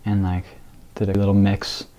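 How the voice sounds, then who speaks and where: A man student musician in an interview, talking casually and haltingly with many likes. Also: lowish voice, completely flat delivery, warm tone.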